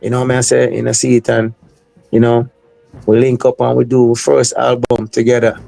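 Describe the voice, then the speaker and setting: A man's voice: medium pitch, monotone, moderate pace, vocal fry, mellow, dry recording, low-quality recording. A man from the United States, a reggae artist, talking casually in an interview, full of filler phrases. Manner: rambling, heavy on Jamaican-style filler phrases. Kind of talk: casual interview talk with sung snippets.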